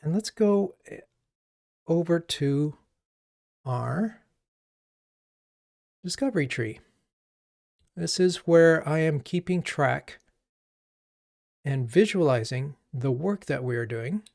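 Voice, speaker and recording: A man from Japan hosting a coding livestream as a coach, chatting casually and haltingly as he thinks aloud. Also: on the high side, expressive, vocal fry, darkish tone, no echo, studio quality.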